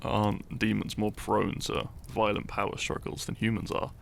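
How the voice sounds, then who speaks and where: A man playing an earnest, shy young hero in a roleplay audio drama, speaking to the listener in a halting, theatrical way. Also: fairly low pitch, level tone, creaky, slight background noise. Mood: fond, conversational. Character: shy young hero, earnest, secretly fond of his foe.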